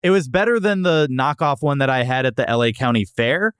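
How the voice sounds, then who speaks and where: Animated man hosting a food podcast. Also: mid-range voice, a little fast, smooth, no room sound, studio quality. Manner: opinionated, jokey. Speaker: podcast host. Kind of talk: casual food review chat.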